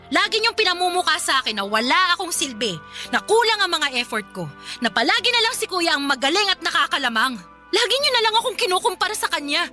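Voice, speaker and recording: A woman's voice: very high-pitched, sing-song, fast talker, sharp tone, heavily acted. A woman playing a hurt, unloved grown daughter in a Tagalog radio drama, pleading and aggrieved. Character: hurt, unloved adult daughter caring for ailing mother. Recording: music bed behind the voice.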